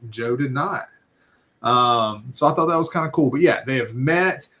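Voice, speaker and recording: A man's voice: medium pitch, moderate pace, bright, forceful tone. A man co-hosting a podcast, chatting casually about a book and often ending his thoughts with "right?". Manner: rambling, many fillers and "right?" tags. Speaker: podcast co-host. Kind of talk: casual book-club chat.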